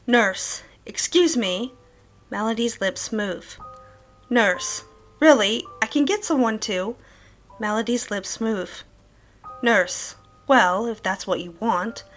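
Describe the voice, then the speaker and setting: A woman reading a nurse's conversation transcript in a horror story, measured as she moves between stage directions and quoted lines. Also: a little high, soft background music. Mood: measured, eerie. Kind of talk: scripted transcript narration.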